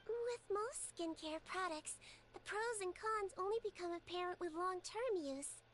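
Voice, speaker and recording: A woman voicing a composed, matter-of-fact beauty expert in an English video game dub, measured and professional. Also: extremely high pitch, fairly bright tone. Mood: assessing.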